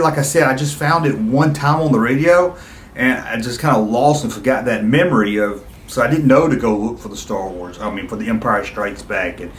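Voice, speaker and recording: A man's voice: medium pitch, echoey room. A middle-aged man talking casually and nostalgically to his viewers, with an enthusiastic, rambling, conversational manner. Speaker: YouTube video host.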